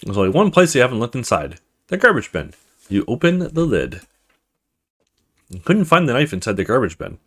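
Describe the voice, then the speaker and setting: A man's voice: mid-range voice, big pitch swings, moderate pace, long pauses. A man hosting a let's play, reading a horror visual novel aloud in a dramatic storytelling way with casual asides.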